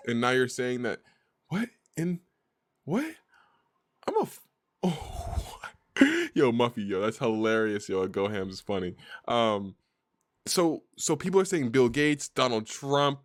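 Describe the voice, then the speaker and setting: A man live streaming and chatting excitedly, often repeating words in quick bursts. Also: medium pitch. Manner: excitable, repeats himself rapidly.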